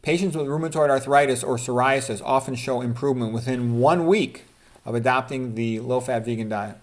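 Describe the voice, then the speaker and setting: Male doctor-presenter, medium pitch, informal lecture style, opinionated and emphatic, alternates reading aloud with commentary. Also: brisk. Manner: emphatic, opinionated, repeats points for stress. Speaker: physician presenter.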